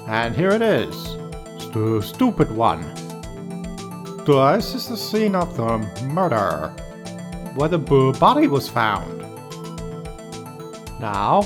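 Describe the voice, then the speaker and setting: A man voicing a bumbling, nervous police detective who stutters haltingly, in a video game fan dub. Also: medium pitch.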